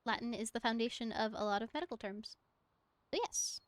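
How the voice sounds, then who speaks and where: Young woman streamer rambling n giggling, kinda high voice, dry close sound. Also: fairly quick, no room sound. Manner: rambling and giggly, talks to game objects. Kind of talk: casual chat while gaming.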